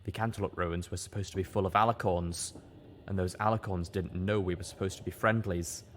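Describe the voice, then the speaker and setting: Low-voiced man reading a fan novel aloud. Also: fairly quick, faint music behind. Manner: steady, earnest reading. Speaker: audiobook reader. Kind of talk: audiobook narration.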